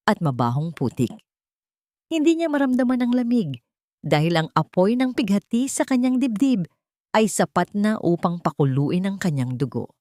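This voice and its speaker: Low-pitched woman narrating dramatic fiction. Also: darkish tone, dry recording, studio quality. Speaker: audiobook narrator. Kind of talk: dramatic scripted story narration.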